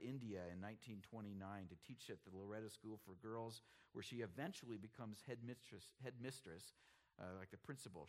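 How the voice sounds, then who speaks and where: A middle-aged man preaching, his voice rising and falling in lively swings. He drops to a near hush for weight, then pushes up loud and urgent to drive a point home, always in the rhythm of the pulpit. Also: lowish voice. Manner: animated preaching, swings from hushed to emphatic. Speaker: pastor. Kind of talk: sermon.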